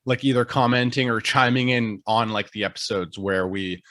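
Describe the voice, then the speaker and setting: A man's voice: mid-range voice, normal speed, a bit bright, no room sound, clean studio sound. A young man from Ottawa, a guest on a casual podcast, chatting loosely and filling his sentences with "like". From Ottawa, Canada. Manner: chatty, heavy use of "like".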